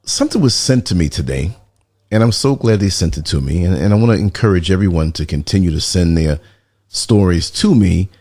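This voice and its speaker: A man with a very low, mellow voice hosts his commentary show. He still sounds sleepy, as if he has just woken up. He reads the news in a low-key way and drops dry, sarcastic remarks. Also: animated, a little fast, dry recording. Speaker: podcast host. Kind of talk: casual commentary reading a news article.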